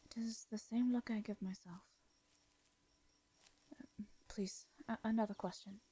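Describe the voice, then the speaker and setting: A woman with an English accent voicing a gentle, anxious, centuries-old vampire in an intimate fantasy audio roleplay, speaking softly and breathily, with hesitant, formal wording. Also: medium pitch, steady tone, unhurried, slightly rough. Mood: wounded, sorrowful, then flustered, earnest. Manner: hesitant, stammering, formal old-fashioned speech.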